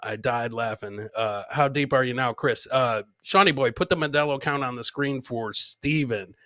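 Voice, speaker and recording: A man's voice: mid-range voice, normal speed, sharp tone, no echo, clean studio sound. A man hosting a live comedy podcast, chatting informally with viewers and swearing casually. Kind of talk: casual live show chat.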